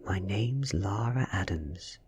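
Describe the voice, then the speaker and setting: British man reading as a hushed, composed vampire woman. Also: deep voice, expressive, takes it slowly, exaggerated voice. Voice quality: hushed, breathy. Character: ancient female vampire posing as dainty schoolgirl, composed. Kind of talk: soft ASMR storybook reading.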